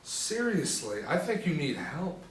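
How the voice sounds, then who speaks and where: Man reading as exasperated higher-voiced student. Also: big pitch swings, a little slow. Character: exasperated, scolding young male university lab partner. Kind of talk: script table read. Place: small indoor room, group table reading. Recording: echoey room, distant mic, faint noise.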